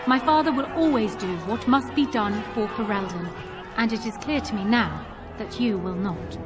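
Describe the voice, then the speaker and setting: A woman's voice: fairly high pitch, moderate pace, natural voice. An actress playing a poised, imperious young queen in a game cutscene, speaking formally and with assurance. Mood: indignant, assured. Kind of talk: dramatic game cutscene oratory. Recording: loud music and crowd behind.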